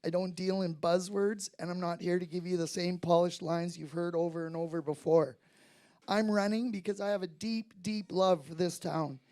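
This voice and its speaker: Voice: mid-range voice, dark tone. Firm plainspoken council candidate. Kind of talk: prepared campaign speech. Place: community recreation center hall.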